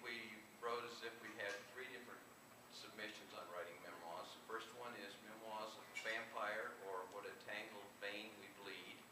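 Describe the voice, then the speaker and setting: A man with a fairly low voice gives an informal talk as an author at a live reading. His delivery is halting and hesitant. He is heard from a distance in an echoey room. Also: steady tone. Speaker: author presenting own book. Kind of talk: informal live book presentation. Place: convention room, live event. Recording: echoey, noisy room from far off.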